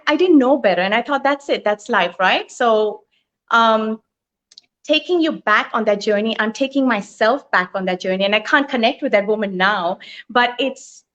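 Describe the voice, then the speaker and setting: A middle-aged woman from Thailand telling her life story as a guest, earnest and thoughtful, with frequent pauses. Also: high-pitched, fairly bright tone. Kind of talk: reflective personal storytelling. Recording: lo-fi online live stream.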